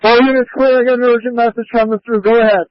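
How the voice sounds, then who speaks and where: Very high-voiced fireground commander on radio. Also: male voice, flat delivery, fast, dry recording. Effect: two-way radio. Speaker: fire incident commander. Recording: muffled lo-fi emergency scanner radio.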